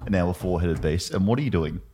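An Australian man co-hosting a casual podcast, jokey and quick to laugh. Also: low-pitched, warm tone, a little hiss or hum. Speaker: podcast co-host. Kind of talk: casual chat.

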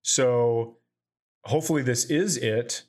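A man's voice: medium pitch, slow pace, darkish tone. Man telling profane exaggerated stories. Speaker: comedian and podcast host. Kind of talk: casual comedic chat.

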